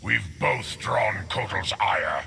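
Fierce game monster warrior, slow low growl, menacing delivery. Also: male voice, animated, heavily acted. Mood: proud, stern. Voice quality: gravelly, growling. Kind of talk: video game dramatic acting. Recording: loud background noise.

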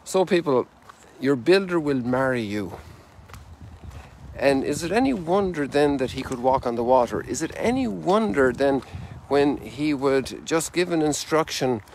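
A man's voice: mid-range voice, expressive, unhurried, a little mellow. A man in his sixties, a priest hosting a reflective livestream chat outdoors. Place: outdoors on a lakeshore. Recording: outdoor ambience noise.

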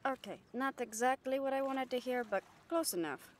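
A woman's voice: sits high, moderate pace, slight background noise, natural voice. A woman playing a brash, cheeky catgirl pirate in an audio roleplay, bantering with salty sarcasm. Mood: wry, sarcastic. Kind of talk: roleplay audio drama acting.

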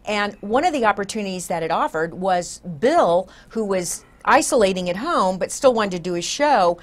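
A woman's voice: medium pitch, expressive, normal speed. A woman in her sixties talking conversationally as the host of a casual interview show. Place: small TV studio, indoors.

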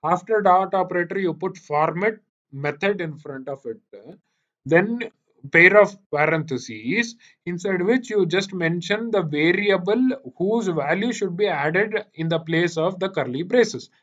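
High-voiced Indian man teaching online. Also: expressive, a little slow, a bit bright. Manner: repeats himself, checks understanding often. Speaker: programming teacher. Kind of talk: live online lecture with questions to students. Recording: dull, lo-fi online meeting audio.

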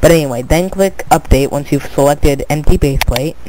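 A young man with a fairly high voice and a stuffed-up, congested nose explains things in a loose, rambling way. He keeps circling back with filler and restarts his words as he goes. There is a faint hiss under his computer mic, with mouse clicks around him. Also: normal speed, mellow, rough recording. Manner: rambling, repeats "but anyway", restarts words. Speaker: tutorial video maker. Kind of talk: casual screen-recorded tutorial.

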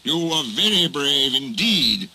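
A man as a dignified, bright-voiced railway boss. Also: high-pitched, sing-song, slight background noise, pitched above the performer's own voice, full character voice, poor audio. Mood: kindly. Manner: impressive, measured pronouncements. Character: dignified, authoritative, kindly male railway controller.

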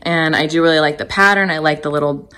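Woman, thirties, slightly low voice, casual vlog chat, informal and upbeat, handheld phone at home. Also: fairly even intonation, quick pace, bright, forceful tone. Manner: chatty, informal, upbeat enthusiasm. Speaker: vlogger. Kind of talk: casual vlog chat, clothing try-on commentary. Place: furnished rooms at home, indoors. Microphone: phone held at arm's length.

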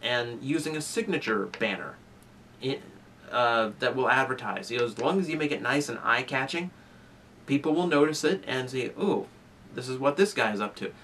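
A man's voice: mid-range voice, lively intonation, moderate pace, a bit bright, recorded from a distance, slight background noise. A young man giving earnest advice straight to camera, talking conversationally with fillers and restarts while gesturing with both hands. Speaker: toy reviewer. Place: small room with shelves of toys, indoors.

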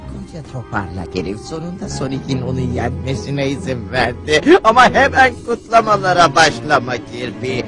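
A man's voice: mid-range voice, sing-song, normal speed, hardly any pauses, lightly acted. A man playing a sly, resentful villainous henchman in a cartoon dub, gloating theatrically with menace. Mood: gloating, menacing. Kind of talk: animated cartoon dub acting. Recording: loud music behind the voice.

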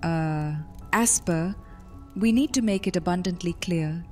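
A woman's voice: on the low side, measured pace, dark tone, soft background music, close to an everyday voice. A woman playing a composed vampire commander of Roman soldiers in a fantasy audio drama. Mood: composed, formal.

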